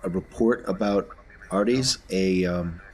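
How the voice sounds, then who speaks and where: Very low-voiced man at game table. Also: a little slow, darkish tone, low-quality recording. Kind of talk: casual game-table talk.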